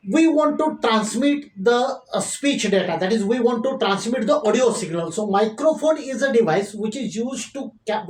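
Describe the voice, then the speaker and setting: Woman, fairly high voice, methodical lecturer, explanatory, rephrases points, echoey recording. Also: a little mellow. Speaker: engineering teacher, tutorial presenter.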